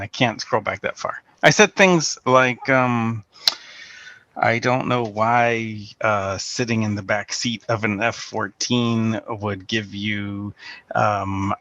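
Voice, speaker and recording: A man calling in over a video call, talking haltingly with lots of fillers. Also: fairly low pitch, a bit bright, no room sound, highs cut off. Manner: halting, hesitant, many fillers. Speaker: caller. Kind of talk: casual call-in chat.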